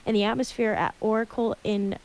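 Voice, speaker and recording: A woman's voice: mid-range voice, steady tone, brisk, crowd noise, low-quality recording. A young woman with a slight lisp chatting casually on a radio show, full of "like" fillers. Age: young adult.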